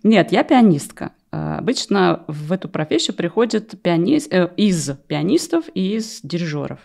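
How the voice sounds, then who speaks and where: A woman's voice: on the low side, sing-song, moderate pace, gravelly, a little mellow. A middle-aged woman from Russia telling her story as a podcast guest, wry and self-deprecating, stopping to correct herself, close on a studio mic. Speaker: interview guest, opera rehearsal pianist. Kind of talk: conversational podcast interview, personal storytelling. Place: small furnished podcast studio. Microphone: close studio microphone on a stand.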